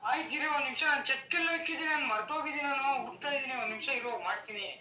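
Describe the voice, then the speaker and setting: A man's voice: extremely high pitch, racing, sharp tone, slight background noise. A man talking on a phone call to his wife, curt, irritated and brusque. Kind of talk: casual phone conversation. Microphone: phone on speaker. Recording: distant, roomy speakerphone sound.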